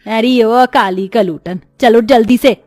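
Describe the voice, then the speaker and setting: A woman performs a cruel, two-faced middle-aged stepmother in an animated moral story. The voice is high, nagging and domineering, with a dry sound and music behind. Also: takes it slowly, no room sound. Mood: scolding.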